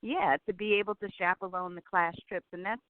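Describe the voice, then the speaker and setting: Upbeat woman, forties, phone interview guest; smooth voice, dry tinny line. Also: mid-range voice, fairly even intonation, fairly quick. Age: 40s. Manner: upbeat, enthusiastic, says "absolutely" often. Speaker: guest, travel business owner.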